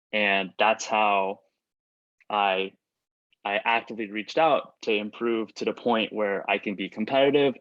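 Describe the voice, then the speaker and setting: A man's voice: fairly low pitch, fairly bright tone, no echo. A young man whose first language is Chinese, a student answering questions in a casual chat, full of "like" and restarts. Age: young adult. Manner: chatty, many "like" fillers and restarts. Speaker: student panelist reading out questions. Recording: dull lo-fi dry recording.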